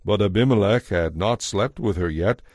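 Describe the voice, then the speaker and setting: A man narrating a dramatized audio Bible as scripted, expressive, measured storytelling. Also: lowish voice, no room sound, clean studio sound. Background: faint music.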